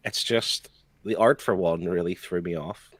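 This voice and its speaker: A man with a low voice chats casually as a show panelist, giving blunt opinions in plain conversational terms. The recording is dry and close, with little room sound. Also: a little slow, dry recording. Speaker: comic book show panelist.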